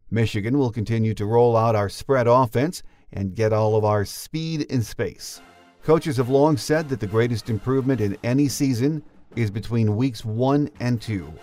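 A man's voice: medium pitch, a little mellow. An older man with an American accent hosting a sports podcast, moving between scripted monologue and interview. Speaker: podcast host.

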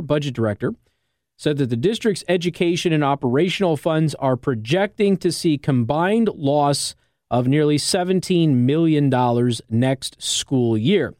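A man's voice: on the high side, darkish tone, no room sound, studio quality. An American man speaking as a radio talk show host, explaining things conversationally and often checking that listeners follow. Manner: explanatory, checks in with "okay?" and "all right?". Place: radio studio. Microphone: close studio microphone.

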